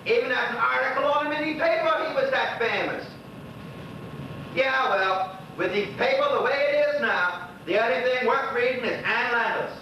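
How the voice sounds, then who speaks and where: Man playing a high-voiced, rambling old Bermudian widower. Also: expressive, normal speed. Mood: chatty, reminiscing. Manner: rambling, conversational, muttered asides to himself and others. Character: lonely old Bermudian widower, chatty and nostalgic. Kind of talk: stage monologue in dialect. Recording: muffled, echoey distant stage recording with noise.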